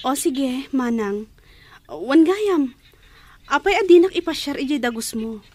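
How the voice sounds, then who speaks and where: A woman's voice: high-pitched, expressive, measured pace, darkish tone, dry recording. A woman with an Ilocano accent playing a grateful, eager younger student sibling in a radio drama.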